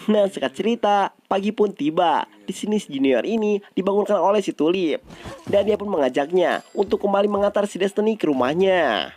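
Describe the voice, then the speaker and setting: Indonesian guy with a pretty high voice retelling a movie, casual and chatty. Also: animated, brisk, darkish tone. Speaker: film recap narrator. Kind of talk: casual storytelling narration.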